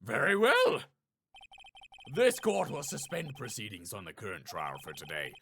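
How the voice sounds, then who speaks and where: A man's voice: medium pitch, wildly swooping pitch, normal speed, vocal fry, pushed and bright, a little hiss or hum, full character voice. A man playing a stern but bemused older judge in a video game, speaking in formal pronouncements.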